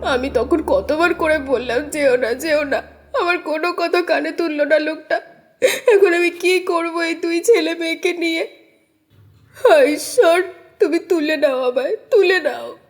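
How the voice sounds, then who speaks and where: A wailing grief-stricken village widow. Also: male voice, very high-pitched, a little slow, voice pushed up. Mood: grieving, wailing. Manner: wailing, tearful lament. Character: grieving young village widow, distraught.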